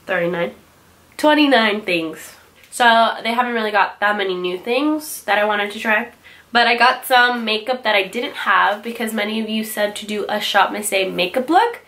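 A woman's voice: mid-range voice, normal speed, bright, forceful tone. Chatty young woman, slightly nasal from a cold. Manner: chatty, rambling, self-correcting. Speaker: beauty vlogger. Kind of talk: casual haul video chat. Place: small bright bedroom with louvered closet doors.

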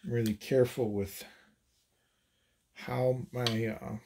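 A man casually demonstrating ammunition handloading at a table, talking in halting phrases with many fillers and restarts. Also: medium pitch, level tone, mellow, slight background noise. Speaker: handloading channel host.

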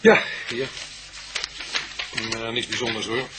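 An actor playing a blunt, colloquial police detective in a Dutch radio drama, informal and quick to butt in. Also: male voice, mid-range voice, steady tone, heavy background noise, natural voice. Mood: blunt, grumbling.

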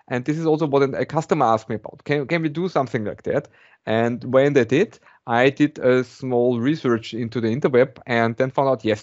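A man's voice: mid-range voice, darkish tone, dry recording. A man in his forties with an Austrian German accent, presenting a technical talk on a video call in a conversational way, often restarting his sentences. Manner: conversational, with frequent restarts and repeated words. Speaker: conference presenter. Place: home office, indoors. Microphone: webcam on a video call. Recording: dull, slightly lo-fi video call audio.

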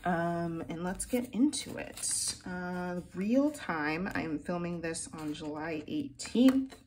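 A woman's voice: on the low side, expressive, takes it slowly, darkish tone, slight background noise. A woman hosting a casual budget vlog, chatty and playful with slang, sounding groggy in the morning. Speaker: budgeting channel host. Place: home filming room, desk seen from above.

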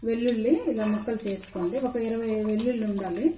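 An older woman giving homely, unhurried step-by-step instructions at her kitchen stove. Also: on the high side, fairly even intonation, warm tone, a little hiss or hum. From India. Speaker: home cook. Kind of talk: cooking demonstration voice-over. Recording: echoey kitchen with sizzling pan. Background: frying and sizzling.

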